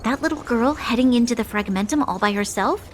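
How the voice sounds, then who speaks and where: Woman as a composed, fairly high-voiced young heroine. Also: a little hiss or hum, natural voice. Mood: thoughtful, concerned. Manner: measured, thoughtful, persuasive. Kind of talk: video game voice acting. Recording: music clearly behind the voice. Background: game music.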